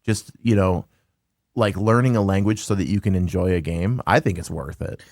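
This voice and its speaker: Laid-back male host, slangy chat; lowish voice, dry close sound. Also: normal speed, a little mellow, no echo. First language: English. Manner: laid-back, says dude and man a lot. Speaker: podcast host, game store owner. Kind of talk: casual interview chat.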